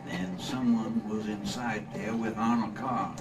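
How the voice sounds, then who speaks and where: An actor playing an anxious, questioning husband in an old-time radio drama recorded live on stage. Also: male voice, fairly low pitch, fairly even intonation, measured pace, recorded from a distance, background noise, close to an everyday voice. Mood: anxious, puzzled.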